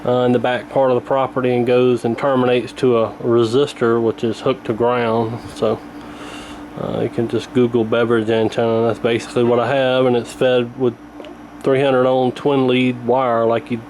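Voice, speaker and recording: A man's voice: mid-range voice, moderate pace, rough recording. American man, casual hobbyist, over noticeable background noise. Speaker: radio repair hobbyist and host. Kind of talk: casual hands-on demonstration commentary. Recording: clear steady background noise. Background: old radio being tuned, room noise.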